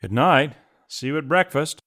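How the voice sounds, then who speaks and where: A man's voice: fairly high pitch, moderate pace, a bit bright, no room sound, clean studio sound, close to an everyday voice. A man playing an easygoing mercenary hunter in an audio drama, speaking in a polite, genial way. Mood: politely genial. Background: prominent music.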